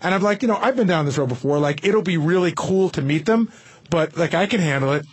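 A man's voice: a little high, animated, fast, slightly rough. A man hosting a radio show, telling anecdotes in casual, rambling, profane studio banter.

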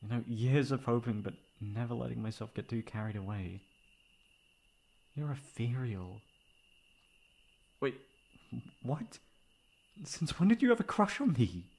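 A man playing a tender, flustered, gently teasing best friend in love, in an intimate, halting ASMR romance roleplay. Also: mid-range voice, animated, creaky. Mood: flustered, surprised. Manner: soft, halting, affectionate.

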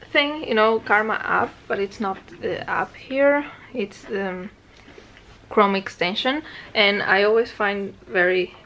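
A woman's voice: medium pitch, a bit bright, slight background noise, low-quality recording. A young woman with a Portuguese accent, vlogging casually to a handheld camera from a hotel room and her living room, chatty and easily sidetracked. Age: young adult. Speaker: travel vlogger. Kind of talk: casual vlog chat. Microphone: handheld camera at arm's length.

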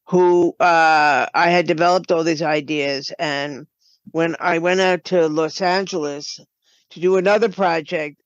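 An older woman tells stories conversationally as a podcast guest. The recording is slightly dull and lo-fi, like a remote connection, with very little room echo around her. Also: low-pitched. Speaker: podcast guest, writer and producer. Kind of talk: conversational storytelling.

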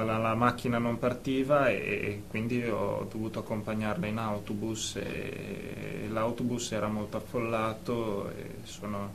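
An actor playing an anxious, phobic young man who stammers and haltingly repeats his words, in a radio drama. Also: on the low side, gravelly, a little hiss or hum. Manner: stammering, halting, nervous repetitions.

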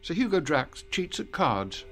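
A gruff british spy boss, clipped and authoritative, really varied pitch, radio play. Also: male voice, very animated, unhurried, fairly bright tone, soft background music, exaggerated voice. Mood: confiding. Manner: clipped, commanding. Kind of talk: radio drama acting.

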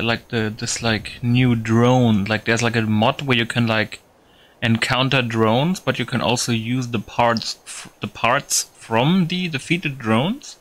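Halting man with a bright voice. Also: mid-range voice. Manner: halting, repetitive, thinking aloud. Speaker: gaming video host. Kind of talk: casual gameplay commentary.

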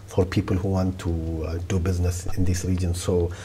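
A man in his fifties from Ethiopia, giving deliberate, steady answers as a guest in a studio interview, recorded on a lapel mic. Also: low voice. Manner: deliberate, steady, with repeated restarts. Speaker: development bank regional director, guest. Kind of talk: measured policy interview answers. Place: indoor studio set, seated in wicker chair.

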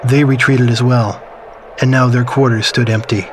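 An actor playing a wistful man recalling his wartime youth, in measured, reflective, sombre scripted narration for a video game. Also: mid-range voice, little pitch movement, background noise, lightly acted. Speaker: story narrator. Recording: loud music under voice. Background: music.

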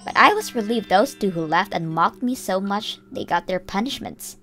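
A woman's voice: mid-range voice, lively intonation, normal speed, fairly bright tone, faint music behind. A woman giving an earnest, scripted first-person narration of a story.

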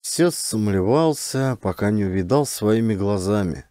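Man acting a jealous peasant husband, lively intonation. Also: lowish voice, a little slow, dry recording, studio quality. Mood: calm, doubting. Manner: plain folksy rural speech, touchingly calm. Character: simple, jealous peasant husband confessing calmly. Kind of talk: audiobook character acting.

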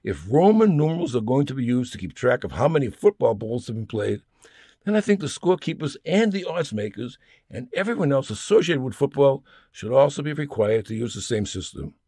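A man with a noticeably creaky, gravelly voice reads a comic essay aloud. He is wry and mock-serious, recorded dry and close with hardly any room sound. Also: medium pitch, very animated, fairly quick, dark tone, dry recording. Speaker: humorist reading own comic essay. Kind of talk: scripted humorous monologue, read aloud.

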